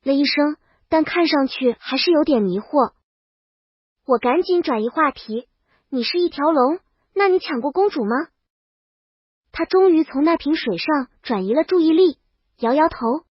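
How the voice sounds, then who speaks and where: A woman's voice: high voice, animated, normal speed, no room sound, muffled recording. A woman reading a scripted first-person comic story as its brash, exasperated heroine. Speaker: audio story narrator.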